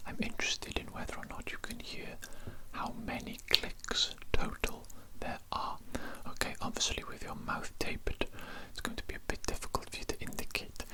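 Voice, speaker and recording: A man playing an evil, smug, controlling doctor in a breathy whispered ASMR roleplay, slowly and deliberately repeating his phrases with condescension. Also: lightly acted, poor audio. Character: evil male mad doctor, smug, controlling, softly menacing.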